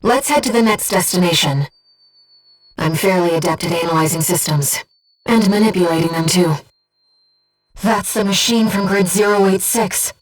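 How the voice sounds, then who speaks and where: A woman voicing a gentle, disembodied female being who guides a pilot in a video game, speaking in a composed, earnest and precise way. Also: fairly low pitch, normal speed, distant mic, faint music behind, lightly acted, low-quality recording. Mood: calm, focused. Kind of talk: video game mission support voice acting.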